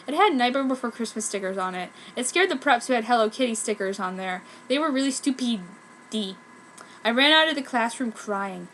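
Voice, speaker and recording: A woman with a fairly high, very bright voice reads fan fiction aloud in a theatrical way. Clear background noise sits faintly behind her on what sounds like a home recording. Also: lively intonation, normal speed, low-quality recording. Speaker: amateur fanfiction reader. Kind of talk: dramatic reading of fan fiction.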